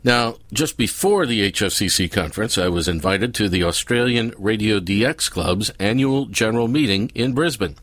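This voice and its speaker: A man's voice: on the low side, animated, moderate pace, hardly any pauses, fairly bright tone, no echo. Man presenting radio, dry close sound. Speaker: radio show host. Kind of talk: scripted narration.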